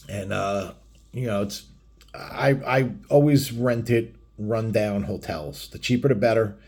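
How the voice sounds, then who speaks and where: A man's voice: fairly low pitch, a little mellow. A middle-aged man telling a rambling personal story casually, with blunt, crude and heavily profane talk. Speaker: biker vlogger telling stories.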